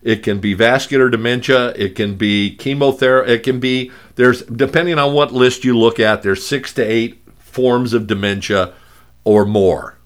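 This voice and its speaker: A man with a gravelly voice, hosting a talk show and speaking in a halting way with many fillers and restarts. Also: mid-range voice, steady tone. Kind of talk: conversational radio talk.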